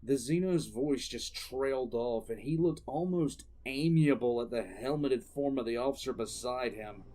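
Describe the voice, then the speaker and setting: A man playing a contemptuous military commissar who tells his own story in grim, deliberate dramatic narration. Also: mid-range voice, mellow, close to an everyday voice. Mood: dry, defiant. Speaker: narrator and lead character. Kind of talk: first-person dramatic audio drama narration.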